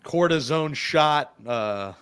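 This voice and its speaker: A man's voice: a little high, lively intonation, slow, crowd noise. A man hosting a casual sports podcast, joking and poking fun at himself as he stumbles over foreign words. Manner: joking, self-mocking, fumbles foreign words. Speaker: podcast host.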